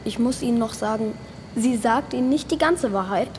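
A woman acts a resolute eco-activist in an audio drama. Her voice sits fairly high and she declaims earnestly, like someone ready to sacrifice herself. There is faint noise in the background. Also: normal speed, darkish tone, lightly acted. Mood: earnest, troubled. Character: resolute female eco-activist microbe inside a cow, self-sacrificing rebel. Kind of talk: scripted radio play acting.

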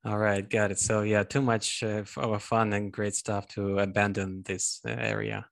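A man's voice: on the low side, level tone, moderate pace, vocal fry. A man hosting a podcast, introducing and interviewing a guest. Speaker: podcast host.

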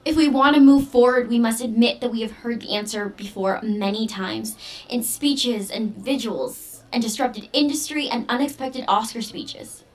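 An actress playing an earnest, defiant young daughter in an audio drama, speaking with intense, deliberate, poetic phrasing. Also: female voice, fairly high pitch, lightly acted, rough recording. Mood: solemn, resolute. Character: earnest, defiant teenage daughter preparing for ritual role. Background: music under the voice.

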